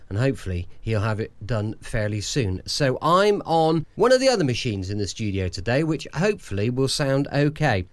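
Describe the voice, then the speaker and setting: A man's voice: medium pitch, no echo. A man hosting an old-time radio show in a chatty, rambling style from a home studio. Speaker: host.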